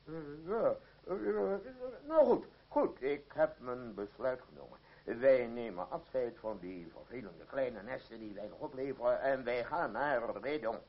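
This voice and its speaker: An actor playing a pompous, easily swayed old theatre troupe leader in a radio play, theatrical, flustered and stammering. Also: male voice, medium pitch, expressive, measured pace, husky. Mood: grand, wavering. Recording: muffled, dull old radio play recording.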